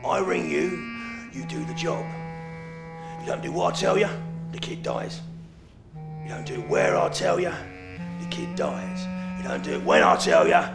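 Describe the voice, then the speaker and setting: An actor playing an older London crime boss in a video game, gravelly, taunting, deliberate and theatrical. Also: male voice, medium pitch, wildly swooping pitch, exaggerated voice. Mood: menacing. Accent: London English. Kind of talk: video game cutscene acting. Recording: echoey room with music underneath.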